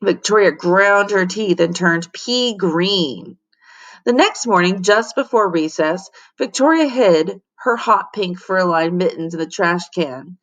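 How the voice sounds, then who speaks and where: A woman teacher reading a children's story aloud in an expressive storytelling style. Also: lowish voice, slow pace. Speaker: teacher reading to her class.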